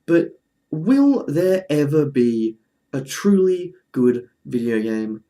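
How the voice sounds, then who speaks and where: A man's voice: a little high, sing-song, slow pace, warm tone. A man presenting casual film commentary in an informal, chatty, slightly wry way. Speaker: presenter of a film review video essay.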